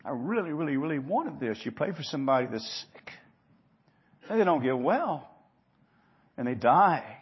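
A man preaching an expository sermon in an earnest, emphatic manner, moving between hushed and loud delivery with rhetorical questions and repeated phrases. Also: fairly high pitch, very animated, normal speed, pauses often, slightly rough, highs cut off.

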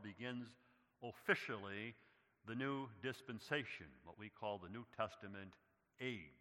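A lower-pitched man preaches a sermon with a bright, forceful tone. His delivery swells into loud, emphatic declarations. His voice rings with the long reverb of a church hall. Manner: builds to loud, emphatic preaching, addresses listeners as beloved. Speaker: pastor.

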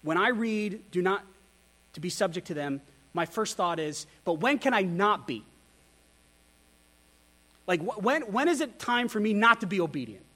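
High-voiced man preaching earnestly. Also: animated, normal speed, pauses often, bright, forceful tone. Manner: earnest, candid, self-deprecating preaching with rhetorical questions. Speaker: pastor. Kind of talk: sermon.

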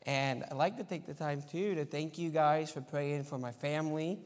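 Echoey, higher-voiced male missionary preacher. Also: normal speed. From Argentina, as a missionary kid. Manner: informal, plain-spoken, addressing congregation directly. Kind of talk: sermon with anecdotes and prayer. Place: church sanctuary, live Sunday service. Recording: echoey church hall with long reverb.

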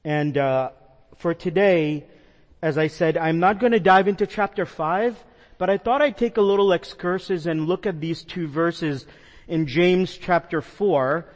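A man's voice: on the high side, a little slow, in a large hall, lo-fi sound. A man from the New York metro area preaching a sermon as a pastor, earnest and conversational with some fillers. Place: church sanctuary.

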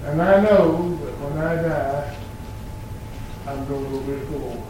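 An old man in his eighties preaching a sermon in a church, quoting scripture in slow, emphatic phrases. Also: a little high, noisy background. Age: 80s. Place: church sanctuary. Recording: very echoey church from far off.

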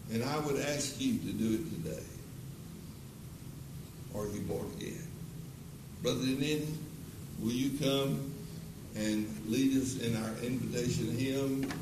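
An elderly man in his eighties preaches slowly and earnestly, pressing the congregation with the same question again and again. His voice carries from a distance through a roomy auditorium, with a steady hiss of background noise. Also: mid-range voice. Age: 80s. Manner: slow, earnest, repeated pleading questions. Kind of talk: closing sermon with altar call. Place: church auditorium.

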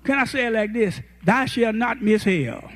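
A man in his eighties, a pastor, preaching a folksy, impassioned sermon that rises to shouted peaks. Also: sits high, lively intonation, moderate pace. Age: 80s.

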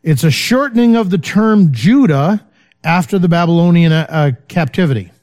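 A man's voice: a little high, animated, moderate pace, a little mellow, faint music behind. A man teaching a Bible study in a loose, conversational way, full of fillers and checking in with his listeners. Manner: informal, many fillers, checks in with "okay?".

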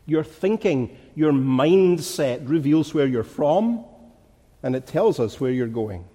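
A man's voice: a little high, expressive, takes it slowly, dark tone. A man with a Glaswegian Scottish accent preaching a sermon in an earnest, rhetorical manner, leaning on emphatic repetition. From Glasgow, Scotland. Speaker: pastor. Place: large echoing church or hall. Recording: reverberant hall.

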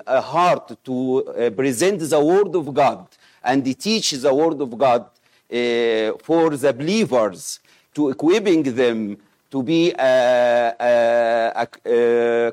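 Man, Egyptian accent, middle-aged, slow halting sermon, fairly high-pitched. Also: darkish tone. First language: Arabic. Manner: halting, many pauses and fillers. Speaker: missionary preacher. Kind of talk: sermon with scripture reading and prayer.

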